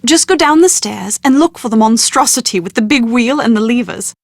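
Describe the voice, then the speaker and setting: An actress playing a well-bred, proud young British bride-to-be in a video game, with prim, articulate, genteel phrasing and exasperated confidence. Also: female voice, a little high, expressive, brisk, no echo, studio quality. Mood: matter-of-fact. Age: young adult. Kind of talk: scripted video game dialogue.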